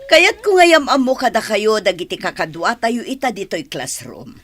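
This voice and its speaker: A woman's voice: mid-range voice, big pitch swings, normal speed, a bit bright. A woman with a Filipino accent acting a kind, patient special education teacher, polite and instructive, in a radio drama. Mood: welcoming, instructive.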